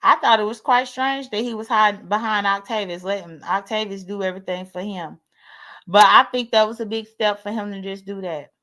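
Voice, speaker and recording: A woman's voice: mid-range voice, fairly even intonation, a little fast, fairly bright tone. A woman in her late thirties with an American accent, chatting casually on a livestream in a blunt, sassy way and swearing freely. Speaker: livestream host. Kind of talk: casual livestream chat, airing grievances.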